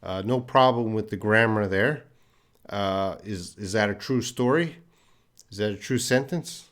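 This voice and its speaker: A man's voice: lowish voice, unhurried, a bit bright. A middle-aged man with a light Canadian accent teaching English on a live stream, patiently answering viewers' questions and repeating words for learners.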